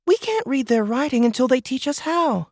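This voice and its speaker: A man's voice: extremely high pitch, lively intonation, normal speed, no room sound, clean studio sound, pitched above the performer's own voice, heavily acted. A man voicing a blunt, stubborn young girl who scolds and contradicts in a science fiction audiobook. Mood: stubborn, annoyed. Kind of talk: sci-fi audiobook character dialogue.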